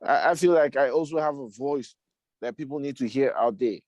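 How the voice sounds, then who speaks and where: A man's voice: mid-range voice, a little fast, a little mellow, dry recording, highs cut off. A man, a musician guest, talking casually and repeating himself, often saying "you see". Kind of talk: casual conversation.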